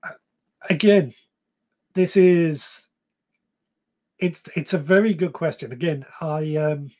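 Man in his thirties, live-stream host, rambling chat, self-correcting, fairly high voice. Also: slow pace, a little mellow. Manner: rambling, self-correcting, reads comments aloud. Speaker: podcast host. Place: small shed or room, indoors.

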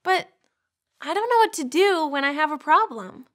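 A young woman hosting a children's church program on a studio set, bubbly and animated as she talks to kids. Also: sits high, normal speed.

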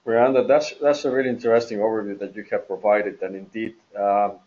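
A man's voice: fairly low pitch, little pitch movement, quick pace, a little mellow. A man moderating a business webinar in a formal presentation style. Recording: dull, muffled webinar audio.

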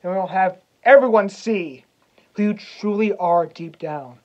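A man playing a vengeful young prince as a cocky wrestler cutting a theatrical promo, swaggering and taunting with dramatic pauses. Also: sits high, muffled recording. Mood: menacing. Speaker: actor.

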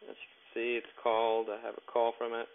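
A man narrating a step-by-step tech tutorial in a plain, instructional way with halting phrasing. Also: medium pitch, completely flat delivery, clear, resonant tone. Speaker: tutorial presenter. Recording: tinny, narrow phone-like sound.

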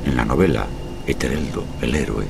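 An actor playing a grave, rational gentleman narrating a horror audio drama in a measured, literary way. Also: a bass voice, creaky. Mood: grave, uneasy. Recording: noisy storm ambience behind.

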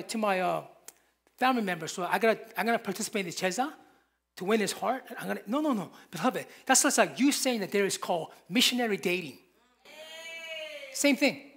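A man's voice: high voice, lively intonation, fairly quick, vocal fry, a bit bright, slightly rough. A man, preaching a sermon from a church pulpit with emphatic, repeated phrases. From Korea. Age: 50s. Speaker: pastor.

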